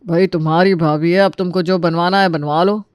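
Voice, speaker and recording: A man's voice: high-pitched, fairly even intonation, brisk, clear, resonant tone, no echo, clean studio sound, natural voice. A man voicing a playful, good-natured, food-loving family man in an Indian cartoon story. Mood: indulgent.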